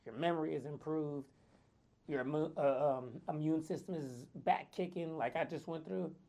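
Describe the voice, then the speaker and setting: A man with a raspy voice, tired from too little sleep, talking casually to viewers with lots of fillers. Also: on the high side, moderate pace, mellow. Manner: chatty, loose, lots of fillers. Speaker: wellness vlogger. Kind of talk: casual talk to camera.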